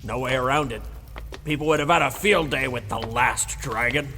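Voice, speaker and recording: An actor in an audio drama playing a gruff old dragon-slaying veteran, defensive and blustery, with a gravelly, rambling delivery. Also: male voice, mid-range voice, normal speed, pushed and bright, slight background noise, close to an everyday voice. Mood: blustery, insistent. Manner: blustery, rambling storytelling, stammers when challenged. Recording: reverberant hall with music behind.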